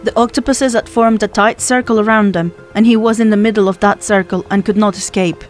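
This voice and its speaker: A woman's voice: mid-range voice, fairly even intonation, brisk. A woman reading a children's story aloud as scripted narration, as an audiobook narrator does. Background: music.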